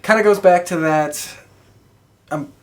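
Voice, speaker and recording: A man's voice: high voice, unhurried. A man co-hosting a podcast in casual, conversational discussion. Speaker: podcast co-host.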